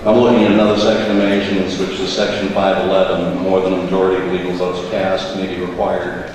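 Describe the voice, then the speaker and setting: A man, a state representative, speaking slowly and deliberately about procedure. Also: low-pitched, monotone, crowd noise. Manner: slow, deliberate, citing rules. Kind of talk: measured procedural remarks. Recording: echoey chamber, distant mic, faint noise.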